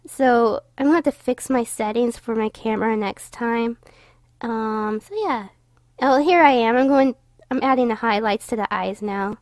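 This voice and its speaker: Young female vlogger, higher voice, stuffy and tired, rambling with fillers. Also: a little mellow, no echo. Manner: rambling, many fillers and restarts. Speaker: YouTuber narrating her drawing. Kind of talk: casual voice-over commentary.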